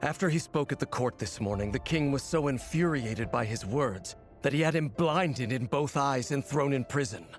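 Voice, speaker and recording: Actor as grave guard captain over music. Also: male voice, mid-range voice, animated, moderate pace, hardly any pauses, natural voice. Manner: formal, earnest, determined. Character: grave, resolute male royal guard captain. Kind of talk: dramatic video game voice acting.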